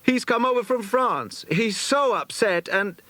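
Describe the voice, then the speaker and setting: An eager schoolboy detective acted by a man in a children's audiobook. He speaks high and excitably, with bouncing intonation. His manner is earnest and exclamatory. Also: a little slow, dry recording, heavily acted. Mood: excited, urgent.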